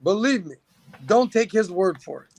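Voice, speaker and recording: A man with a high, gravelly voice makes his case in a casual podcast talk, piling up figures and dropping in fillers and restarts. The room sounds dry and close to the mic. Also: very animated, normal speed, darkish tone, dry recording. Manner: opinionated, argues with numbers, many fillers. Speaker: podcast guest. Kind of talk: casual political chat.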